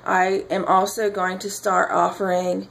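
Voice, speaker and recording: A woman speaking informally as a spiritual teacher announcing classes, pausing often and drawing out words in halting phrases. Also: medium pitch, level tone, gravelly, a bit bright, low-quality recording. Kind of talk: informal spoken announcement to camera or followers.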